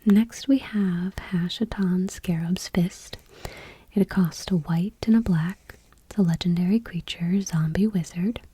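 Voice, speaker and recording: A woman speaking in a soft, breathy near-whisper in an ASMR style, gentle and unhurried, close to the microphone. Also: on the low side. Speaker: ASMR channel host. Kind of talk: soft-spoken ASMR, reading trading cards aloud.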